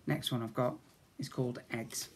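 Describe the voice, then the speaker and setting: A man's voice: mid-range voice, fairly even intonation, normal speed, background noise. A British man in his fifties, a schoolteacher, reading comic rhymes to young children expressively, with chatty asides. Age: 50s. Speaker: infant school teacher. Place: small indoor school office. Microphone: device camera at arm's length.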